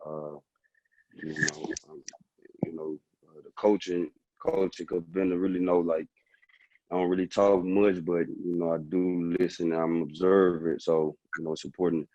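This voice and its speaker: A young man from Alabama speaks with a very deep, flat, dark voice. He talks quietly and haltingly, leaning on fillers. The sound is dry and somewhat muffled. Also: no echo. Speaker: football player.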